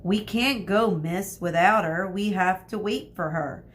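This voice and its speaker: A woman's voice: medium pitch, unhurried, close to an everyday voice. A woman voicing an earnest, loyal schoolboy from a children's storybook, pleading and insistent.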